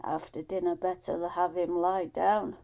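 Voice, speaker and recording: A woman voicing a stern, gruff father in an audiobook story, speaking emphatically and scolding. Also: lowish voice, little pitch movement, measured pace, dark tone. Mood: worried, firm. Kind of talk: acted audiobook dialogue.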